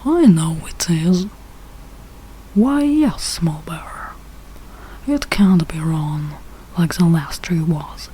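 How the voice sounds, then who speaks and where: A woman reading a rhyming children's storybook aloud in short, deliberate phrases with pauses. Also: sits low, lively intonation, warm tone, slight background noise, poor audio. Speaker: read-aloud reader learning English.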